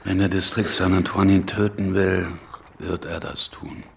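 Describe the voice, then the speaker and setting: An actor playing a blunt, swaggering, shrewd revolutionary leader with a gravelly voice in a radio drama. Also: male voice, sits low, little pitch movement, unhurried, slight background noise, heavily acted. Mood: brooding. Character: brash, forceful male revolutionary leader, shrewd and earthy. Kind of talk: naturalistic radio drama acting.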